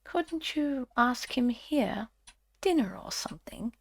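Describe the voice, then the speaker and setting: Woman voicing a creaky, hopeful storybook mole. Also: on the high side, expressive, slow pace, heavily acted. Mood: hopeful coaxing. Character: eager, naive male mole, persistent storybook animal.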